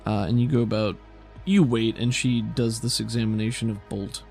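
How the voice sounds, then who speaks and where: Gravelly male game master. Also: fairly low pitch, steady tone, moderate pace, a little mellow, soft background music. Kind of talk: improvised tabletop game narration.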